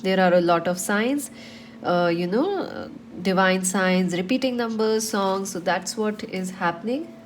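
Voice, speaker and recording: A woman's voice: mid-range voice, a little slow, slight background noise. A woman with a cold and a slightly nasal voice, giving a chatty tarot reading to her viewers with many fillers. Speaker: tarot reader.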